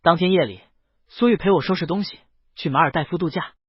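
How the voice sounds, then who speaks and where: A man's voice: on the high side, fast, husky, no room sound, muffled recording. A man narrating a scripted first-person story as an audiobook reader.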